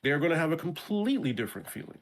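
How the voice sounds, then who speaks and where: A man with an American New York accent, a guest in a casual interview chat, talking in an animated way and often restarting his words. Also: fairly high pitch, rapid-fire. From Brooklyn, New York.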